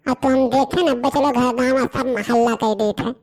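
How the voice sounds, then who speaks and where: A woman's voice: high-pitched, steady tone, normal speed, darkish tone, pitched above the performer's own voice. A woman voicing a nosy, cheeky village youngster in a cartoon, accusing and bargaining in an Awadhi accent.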